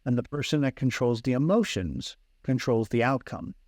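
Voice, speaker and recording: A man's voice: mid-range voice, animated, normal speed, a little mellow, dry recording. Earnest teacherly man, dry sound. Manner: earnest and teacherly, with frequent restarts. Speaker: guest, fragrance hypnosis coach. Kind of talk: explanatory interview talk. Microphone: video call.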